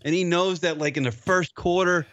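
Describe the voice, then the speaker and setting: A man's voice: sits high, normal speed, fairly bright tone, no echo, highs cut off. A man co-hosting a radio show, bantering crudely and mocking a sideline reporter with put-on impressions. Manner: profane, mocking, acts out impressions.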